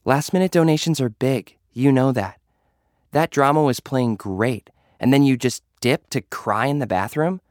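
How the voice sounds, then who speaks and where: A man voicing a bossy, scornful young woman influencer in audio fiction, sharp and impatient. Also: mid-range voice, moderate pace, no room sound, studio quality, pitched above the performer's own voice, lightly acted. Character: scornful, bossy, tough young woman social media star.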